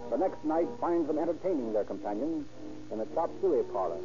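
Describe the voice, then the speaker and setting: A man narrating an old radio crime drama in a formal, measured announcing manner. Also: on the high side, animated. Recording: dull old radio recording with music behind.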